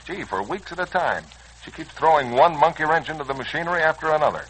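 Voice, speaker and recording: An actor with an American accent playing an earnest, slangy, hot-headed young son in a 1940s radio serial. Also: male voice, a little high, lively intonation, fast, a bit bright, low-quality recording. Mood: wry, chatty. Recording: old, rough radio recording.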